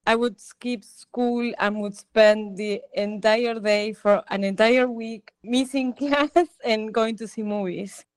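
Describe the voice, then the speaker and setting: A woman's voice: fairly high pitch, smooth, no echo, low-quality recording. A woman in her thirties from Argentina, telling her own story as an interview guest in a reflective way. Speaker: guest, production designer. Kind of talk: casual interview talk.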